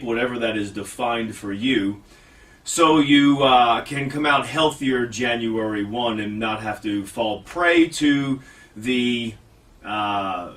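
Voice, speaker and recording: A man's voice: mid-range voice, fairly bright tone, far from the mic. A man hosting a fitness radio show, talking casually, rambling and mocking himself. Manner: rambling, self-mocking, restarts often. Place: radio studio.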